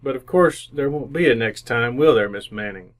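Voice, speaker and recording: A man playing a shrewd, methodical police inspector in an old-time radio mystery, with a measured, formal way of questioning. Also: mid-range voice, close to an everyday voice. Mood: coolly accusing. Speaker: amateur radio actor.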